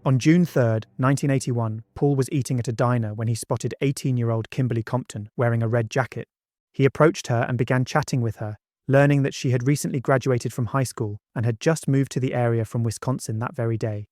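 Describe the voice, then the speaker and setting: A man's voice: medium pitch, steady tone, a little fast, darkish tone, dry recording, clean studio sound. A man narrating a true-crime documentary from a script, with conversational asides. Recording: music bed behind the voice. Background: background music.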